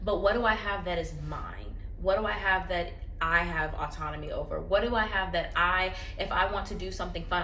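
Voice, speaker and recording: A woman records a heartfelt, reflective vlog on her phone at home. Her voice is bright and clear. The room is roomy and echoey, with a faint hum in the background. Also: lowish voice. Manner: earnest and reflective. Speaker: vlogger. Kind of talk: candid personal vlog talk.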